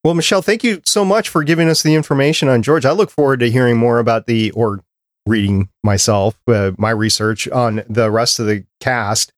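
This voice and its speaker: A man's voice: mid-range voice, a little fast, no room sound. A man hosts a casual panel podcast in a mid-range voice. The recording is dry and close, with hardly any room sound. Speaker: podcast host.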